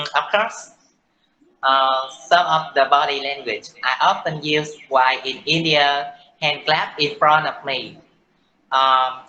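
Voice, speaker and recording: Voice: medium pitch, pushed and bright, muffled recording, slight background noise. A teenager with a Vietnamese accent, playing a talk-show guest in a class role-play. They recite a prepared explanation with many "uh" and "um" fillers. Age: late teens. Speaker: student playing a guest from India.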